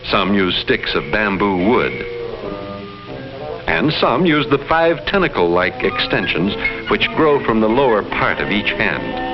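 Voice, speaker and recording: An actor playing a detached alien explorer, reporting on human life in formal, measured 1950s educational film narration. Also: male voice, lowish voice. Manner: formal, measured, clinical phrasing. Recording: muffled vintage film sound, music behind. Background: loud music.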